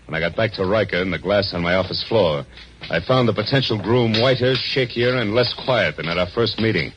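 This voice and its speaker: An American man acting a wry, hardboiled private detective in a 1940s radio drama, deadpan and clipped, on an old recording. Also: on the low side, fairly quick, noisy background, lightly acted. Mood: wry storytelling. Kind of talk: 1940s radio drama acting, first-person noir narration. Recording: muffled old-time radio recording with music.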